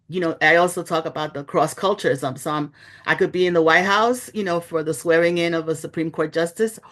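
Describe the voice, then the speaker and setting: A woman's voice: low voice, fairly bright tone. A middle-aged woman from New York City, speaking as an author in a reflective interview, telling her story candidly with many "you know" asides. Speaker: author, journalist and guest.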